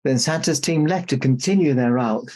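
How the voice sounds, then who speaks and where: A man's voice: medium pitch, moderate pace, a little mellow, natural voice. A man playing Santa Claus, a jolly old man reading a children's storybook aloud with jokey, mock-indignant asides. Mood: storytelling.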